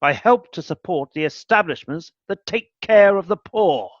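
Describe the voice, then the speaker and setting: A man's voice: sits high, animated, moderate pace, dry recording, lo-fi sound. A man playing a cold, grumpy elderly miser in a radio play, curt and scornful, barking orders. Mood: cold, dismissive.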